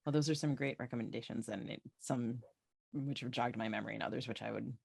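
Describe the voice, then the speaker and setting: A woman's voice: extremely low pitch, fast, gravelly, a little mellow, husky, no room sound. Woman hosting a book podcast, chatty questions, really dry sound no room. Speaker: podcast host. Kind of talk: conversational book interview.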